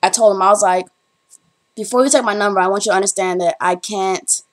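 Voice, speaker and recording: A woman's voice: mid-range voice, fairly even intonation, quick pace. A young woman from the Bahamas, a college student, chatting to camera in a rambling, storytelling way with frequent ums. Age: young adult. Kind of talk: casual chat to camera.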